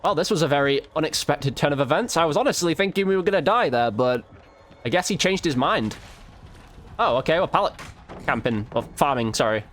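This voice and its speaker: A man's voice: fairly high pitch, expressive, brisk, fairly bright tone, slight background noise. A man hosting a gaming video, giving chatty, informal commentary on his play and addressing his viewers directly. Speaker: gaming video host and player. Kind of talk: casual gameplay commentary.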